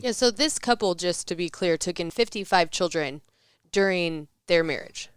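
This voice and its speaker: A woman with a New Jersey accent co-hosts a casual podcast, dropping in wry, quick remarks. Her voice is a little low and very clean, and the sound is dry and close. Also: clear, resonant tone, dry recording. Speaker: podcast co-host.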